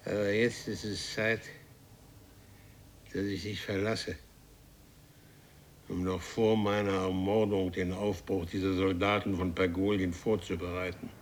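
An actor playing an imperious warrior from a future race in a radio play, with a commanding, grandiose and menacing way of speaking. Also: male voice, sits low, little pitch movement, unhurried, long pauses, slight background noise. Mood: moved, solemn. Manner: commanding, grandiose, solemnly threatening. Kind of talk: German radio play acting.

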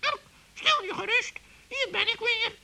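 A man voicing a tiny, good-natured seahorse with a squeaky voice in a children's radio play. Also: unhurried, sharp tone, exaggerated voice. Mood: cheerful. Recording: clearly noisy old recording.

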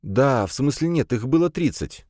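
Audiobook role: teenage male janitor as done by a man, raised pitch, nervous, earnest, hesitant. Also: lively intonation, normal speed, darkish tone, no room sound, clean studio sound, lightly acted. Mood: flustered. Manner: hesitant, flustered, self-justifying. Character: nervous, earnest nineteen-year-old male university janitor.

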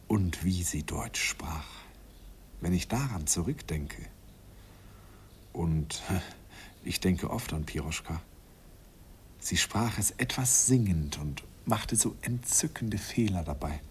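A nostalgic, smitten narrator in a radio play. His voice is very deep, intimate and wistful, with gentle amusement. Faint noise sits behind him. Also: animated, takes it slowly, long pauses. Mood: wistful, tender. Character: nostalgic, smitten man recalling his youthful summer romance.

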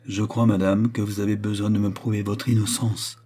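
A man's voice: on the low side, level tone, normal speed, dark tone, lightly acted. A man voicing a grave, devoted nobleman in love with a queen, solemn and intense, in a dramatized historical novel. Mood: grave accusation.

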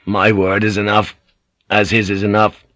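A man's voice: lowish voice, completely flat delivery, fairly bright tone, no room sound, natural voice. A man doing a worn-out, weary sailor. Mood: weary, polite. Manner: slow and weary. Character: exhausted English sailor turned samurai.

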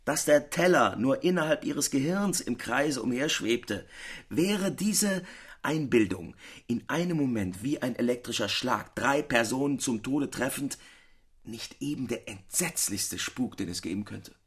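Earnest gentleman storyteller, animated intonation, slightly husky, formal, rhetorical, radio drama. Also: mid-range voice, a little slow. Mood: grave, fascinated. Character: earnest literary friend musing on uncanny horror. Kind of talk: literary radio drama acting.

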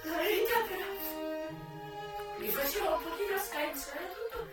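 A woman's voice: very high-pitched, animated, normal speed. An actress performing a dramatic stage monologue as a young American former prostitute and single mother, raw, defiant and emotional. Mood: shaken, wondering. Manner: theatrical, emotional, swinging from hushed to wailing. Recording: distant, very echoey stage recording with music. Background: music.

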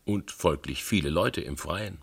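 An actor playing a dry, impatient police inspector who questions methodically, in a radio crime drama. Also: male voice, low voice, a little slow, vocal fry, a bit bright, breathy edge, full character voice. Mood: dry, curt.

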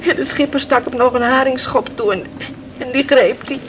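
High-voiced grieving fisher girl , played by an actress. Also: normal speed, natural voice. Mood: sorrowful, haunted. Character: grieving fisherman's daughter remembering her drowned brother. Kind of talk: Dutch radio play acting. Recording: noisy old radio play recording.

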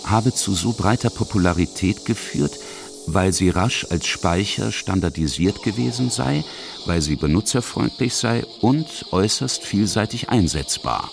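A man's voice: lowish voice, animated, a little slow, vocal fry, background noise. A man reading scripted documentary narration as the narrator and interviewer of a feature.

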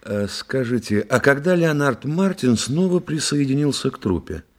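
An actor playing a courteous, probing forensic psychiatrist in a Russian radio play, polite and musing in manner. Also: male voice, mid-range voice, sing-song, moderate pace, lightly acted. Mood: inquisitive. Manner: polite, musing, repeats words while thinking.

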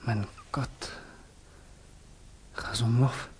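Hushed, husky, earnest revolutionary. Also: male voice, medium pitch, fairly even intonation, heavily acted. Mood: hushed, grave. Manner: hushed, grave, halting. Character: earnest, idealistic Russian male revolutionary on the run. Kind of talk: German radio play acting.

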